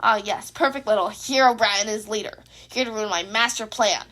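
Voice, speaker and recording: A woman's voice: mid-range voice, a little fast, a bit bright, pitched below the performer's own voice, natural voice. Woman voicing a sneering young male villain. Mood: menacing, sneering. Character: menacing young male villain, bitter and boastful. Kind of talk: audio story character voice.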